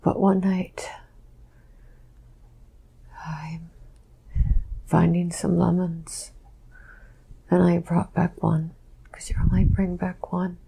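A woman speaks in a soft, breathy whisper, low in pitch and very slow. Her words come haltingly, with a gravelly, husky edge and a flat, even tone. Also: low-quality recording. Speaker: session subject answering questions. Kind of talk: slow whispered first-person recollection.

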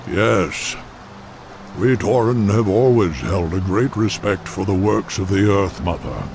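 Big old minotaur chief for a video game, proud n solemn, slow talker, faint music behind. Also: male voice, on the low side, vocal fry. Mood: grave, respectful. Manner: slow, solemn, formal speech. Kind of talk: fantasy video game acting.

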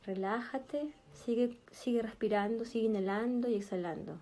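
A woman leading a guided meditation in a soothing, gentle manner, speaking in short, spaced phrases. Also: fairly high pitch, moderate pace. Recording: background music with flute. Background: meditation music with flute.

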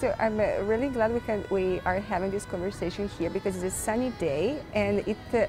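A woman's voice: medium pitch, a little fast, over music, rough recording. A young woman host with a Serbian accent, asking curious questions in non-native English on an outdoor café terrace. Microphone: lapel mic.

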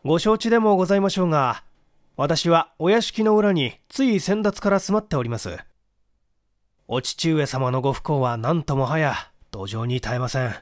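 Courteous, eager male sleuth for a storybook mystery, read by a man, earnest formal speech, animated, dry sound. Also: on the high side, normal speed, dry recording, natural voice. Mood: deferential, solicitous. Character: polite, eager male private detective with heavy moustache. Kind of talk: audiobook character dialogue.

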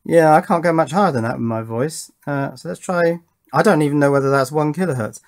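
A man's voice: a little high, fairly quick. Casual man thinking aloud. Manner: informal, thinking aloud, self-deprecating. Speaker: electronics hobbyist presenter. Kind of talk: casual narration of a workbench test. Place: indoors at an electronics workbench.

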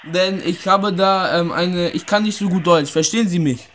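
Higher-pitched young man, faint noise. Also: normal speed. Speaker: prank caller, video host. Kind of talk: prank phone call with asides to viewers. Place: indoor room with wooden floor.

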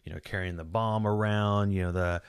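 A man's voice: fairly low pitch, fairly even intonation, normal speed, clean tone, dry recording, clean studio sound. A man in his forties with a Texan accent, chatting casually as a podcast host in a rambling, chatty way with lots of restarts. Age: 40s. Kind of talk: casual solo video commentary. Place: small home studio room. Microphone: boom-mounted studio mic close up.

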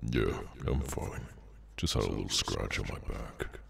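Guy doing a sinister tired demon henchman, asmr roleplay, super gravelly, talks real slow. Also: fairly bright tone, slight background noise, exaggerated voice. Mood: calm menace. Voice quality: very deep, gravelly, creaky. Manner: slow, low-key, casually sinister, sardonic. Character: menacing, weary male demonic henchman with tendrils, cultist.

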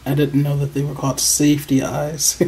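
A crochet channel host in a casual show-and-tell, sounding excited and proud and pausing often with hesitant ums. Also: medium pitch, vocal fry. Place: indoors at a table.

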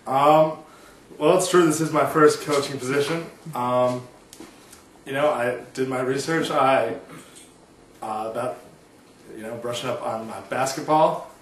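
A man playing a coach at a press conference, speaking haltingly with many pauses and ums. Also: mid-range voice, recorded from a distance, a little hiss or hum. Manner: halting, hesitant, full of ums. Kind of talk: comic press conference skit. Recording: echoey room, heard from afar.

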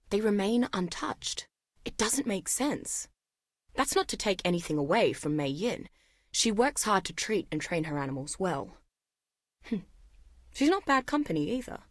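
A woman's voice: fairly low pitch, no echo, clean studio sound. An actress playing an inquisitive, analytical woman biologist in a video game, reading journal entries in an articulate, dryly wry way. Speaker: voice actor.

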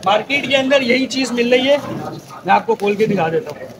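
Earnest young man, high voice, echoey hall. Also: animated, normal speed. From Pakistan. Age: young adult. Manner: earnest, repeats key points. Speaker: charity volunteer organizer. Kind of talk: casual explanation. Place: large indoor hall with stalls. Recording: echoey hall, crowd noise.

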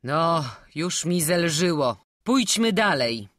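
A woman's voice: low voice, sing-song, slow pace, dry recording, studio quality, voice pushed up, heavily acted. A woman voicing an elderly male priest who speaks in curt, commanding orders. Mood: weary. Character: elderly male priest, stern but kindly scholar.

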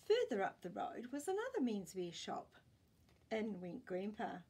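An older woman reading a picture book aloud expressively, pausing to put questions to her listeners. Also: mid-range voice, huge pitch swings, a little mellow, breathy edge. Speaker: children's storytime reader. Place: small indoor room with bookshelves.

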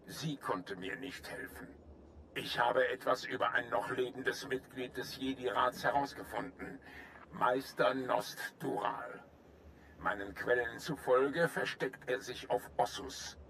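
Game role: grave alien general, bright commanding tone, formal and courteous, noisy ambience. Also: male voice, medium pitch, creaky. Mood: somber. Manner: formal, measured, earnest. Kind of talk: German video game voice acting.

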